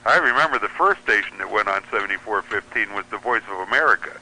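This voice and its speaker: A man's voice: lowish voice, lively intonation, fast, sharp tone, slight background noise, low-quality recording. A middle-aged or older man reminiscing in casual, anecdotal conversation as a guest on a radio show.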